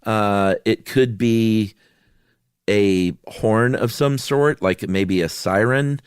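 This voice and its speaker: Deep voiced guy podcast host, easygoing casual chat, dry close sound. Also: takes it slowly, smooth, no echo. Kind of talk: casual conversational explaining.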